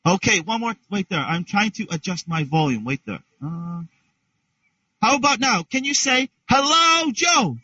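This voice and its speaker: A man teaching an online English class to young learners, speaking slowly and with exaggerated, encouraging prompts over a video call. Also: high voice, sing-song, bright, forceful tone, muffled recording.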